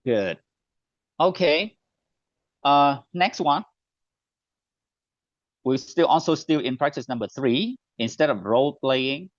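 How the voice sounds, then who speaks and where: A man's voice: mid-range voice, expressive, dry recording. Man with a Vietnamese accent teaching online. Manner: clear, deliberate classroom delivery, slows on model phrases. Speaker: teacher trainer. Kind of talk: online teacher training session. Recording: dull, dry online call audio.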